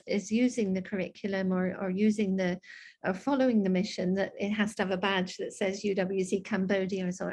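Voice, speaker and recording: A woman's voice: mid-range voice, a little mellow. Thoughtful woman with a slight Scottish accent. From Scotland. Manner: thoughtful, with many ums and restarts. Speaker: guest speaker. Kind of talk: online panel discussion.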